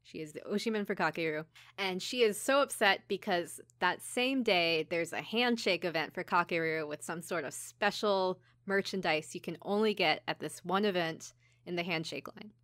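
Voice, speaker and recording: A woman's voice: lowish voice, moderate pace, a bit bright, no echo, clean studio sound. A woman podcast co-host telling a story in casual chat, informally, with lots of "like" and quoted asides. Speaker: podcast co-host recapping an anime episode. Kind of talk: casual chat, episode recap.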